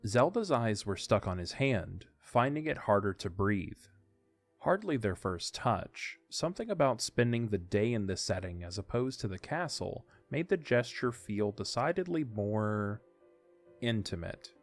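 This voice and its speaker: A man's voice: lowish voice, sing-song, a little slow. A man narrating a scripted audio drama, with music behind his voice.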